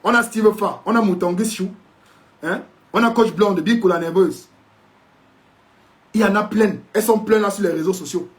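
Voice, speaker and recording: A man's voice: sits high, normal speed, long pauses. A man with a Cameroonian French accent ranting sarcastically in a video commentary, indignant and preachy, sometimes slipping into English to mock. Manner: mixes in English mockingly.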